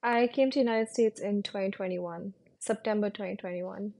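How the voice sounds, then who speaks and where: A young woman from India, a physical therapist, answering interview questions in a relaxed, conversational way, often hedging with "like" and "you know". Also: medium pitch, moderate pace, vocal fry.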